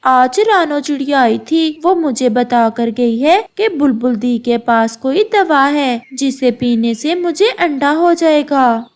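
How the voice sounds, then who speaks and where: A woman puts on a sorrowful cartoon bird wife. Her voice is very high and slow. She sounds tender and plaintive, a soft-hearted wife. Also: lively intonation, heavily acted. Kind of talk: Hindi moral-story cartoon dialogue.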